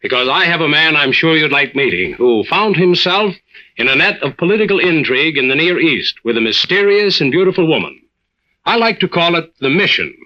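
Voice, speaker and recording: Genial adventurer host on old time radio, lively swoopy delivery, a bit muffled. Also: male voice, a little high, fairly quick. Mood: genial, intriguing. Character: gentlemanly adventurer storyteller, considerate and genial. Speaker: series lead and narrator-host. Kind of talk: 1940s-50s radio drama and hosting.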